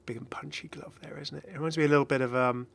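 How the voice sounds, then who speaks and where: A man's voice: fairly high pitch, steady tone, very fast, vocal fry. A man giving casual, off-the-cuff game commentary as a video host, chatty and rambling with enthusiastic asides.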